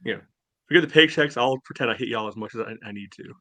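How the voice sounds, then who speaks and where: A man's voice: medium pitch, quick pace, bright, forceful tone, no echo. A man co-hosting a casual sports podcast, wry and joking with his friends. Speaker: podcast co-host.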